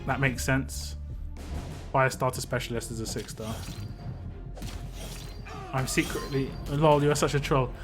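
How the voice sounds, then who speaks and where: Male game streamer, casual rambling chat, mid-pitched voice, clear game music underneath. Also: fairly even intonation, unhurried, pauses often. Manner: rambling, chatty, answers chat with laughs.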